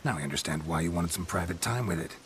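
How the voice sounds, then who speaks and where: An actor playing a gruff monster hunter who speaks in a dry, clipped, oddly genteel way. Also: male voice, low voice, quick pace. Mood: scornful. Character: gruff male monster hunter, stiff and posh. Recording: game audio with steady hiss.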